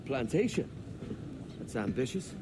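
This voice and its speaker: An actor playing a cocky, roguish young pirate captain in a video game, with a wry, bantering manner. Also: male voice, mid-range voice, sing-song, a little slow, background noise. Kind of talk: video game cutscene acting.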